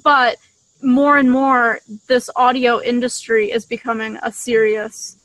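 Young woman with a higher, dry-sounding voice. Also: fairly even intonation, measured pace, fairly bright tone, dry recording, rough recording. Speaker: podcast co-host. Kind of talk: casual podcast discussion. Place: outdoors in a leafy garden. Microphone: desktop condenser microphone shared between two.

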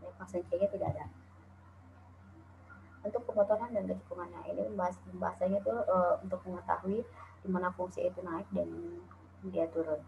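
A woman from Indonesia talks through a calculus lecture in a lowish, gravelly voice, pausing on "eh" and "nah" as she works through each step. The sound is tinny and narrow, like a phone line, with a faint hiss behind it. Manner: steady explaining with frequent "eh" and "nah". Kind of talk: recorded slide lecture. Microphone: voice-over on slides.

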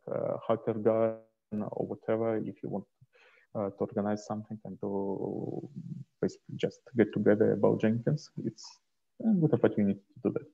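A man presenting slides informally on a video call, speaking in a hesitant, halting way into a headset. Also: fairly low pitch, vocal fry. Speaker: host and presenter of an online community onboarding session. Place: small indoor room, video call. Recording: dull, muffled headset call audio.

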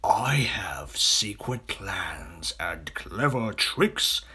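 A man voicing a sly, boastful, greedy crocodile villain in a children's storybook, in a hissing half-whisper with drawn-out, gloating words. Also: on the low side, sing-song, bright, forceful tone, husky, full character voice. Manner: slow, gloating, savouring menace.

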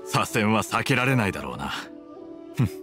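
A man playing a stern, conflicted, secretly kind samurai officer in an anime game, with a formal, measured, brooding delivery. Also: on the low side, very animated, music in the background. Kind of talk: anime video game voice acting.

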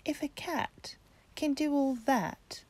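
A woman's voice: high voice, takes it slowly, a little mellow, voice pushed up. A woman voicing a small, impetuous young female kitten in a storybook, exclaiming and plaintive. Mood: bold.

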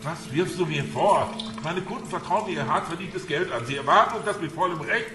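A man's voice: fairly high pitch, animated, a little fast, close to an everyday voice. A man playing an indignant investment banker held captive, in a German radio crime drama. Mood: defensive, indignant. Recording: echoey space with people and water behind.

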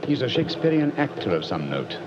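An actor playing a brilliant gentleman detective, crisp and clipped, in 1940s radio acting. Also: mid-range voice, big pitch swings, fast talker, lightly acted. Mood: brisk. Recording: dull 1940s radio with noise.

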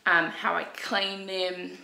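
Croaky young woman vlogging at home. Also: fairly low pitch, little pitch movement, slow pace, bright, forceful tone. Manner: chatty, rambling, lots of "um" and "so". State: croaky from a cold. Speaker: parenting vlogger. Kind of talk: casual vlog talk to camera. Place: bright open-plan living room and kitchen. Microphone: handheld camera at arm's length. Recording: echoey room.

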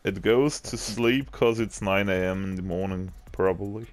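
A man's voice: sits low, moderate pace, soft background music. A young man from Austria with an Austrian accent, chatting and joking while playing an online game. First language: German. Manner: chatty, joking, laughs often. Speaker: gamer. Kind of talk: casual chat while gaming.

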